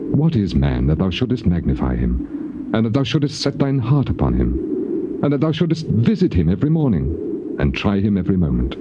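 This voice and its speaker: A despairing man in a bible drama, grand old-fashioned delivery, pitch all over, hissy dull cassette. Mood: bitter despair, then anguished. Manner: declamatory, archaic diction. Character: afflicted man in despair, anguished, bitterly pleading. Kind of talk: dramatized scripture reading, stage-trained acting. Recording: noisy, muffled old cassette tape.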